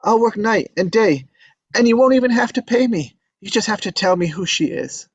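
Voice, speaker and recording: A man's voice: high-pitched, animated, normal speed, breathy edge, no echo, highs cut off. A man reading the part of an earnest, determined young man in love, pleading his case. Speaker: table read actor. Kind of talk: live table read acting.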